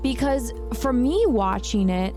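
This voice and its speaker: A woman's voice: on the high side, very animated, unhurried, a little mellow, faint music behind. A woman giving sarcastic, exasperated review commentary on a TV show.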